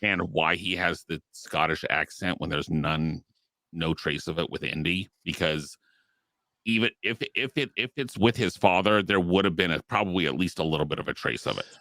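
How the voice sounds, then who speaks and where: Deep, bright-toned man on a podcast. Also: moderate pace, no room sound. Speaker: podcast panelist. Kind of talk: casual podcast chat.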